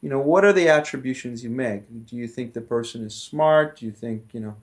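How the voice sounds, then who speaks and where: A man's voice: mid-range voice, fairly quick. A middle-aged man, a professor guest, explaining his research conversationally with frequent restarts and "you know".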